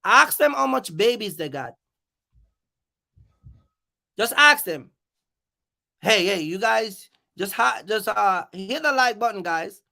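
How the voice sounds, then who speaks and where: A livestream host with a bright, forceful voice and a gravelly creak runs through an off-the-cuff rant. The delivery is emphatic and challenging, with phrases repeated to hammer each point. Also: medium pitch, animated, slow pace, long pauses. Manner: confrontational, challenging listeners. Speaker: live stream host.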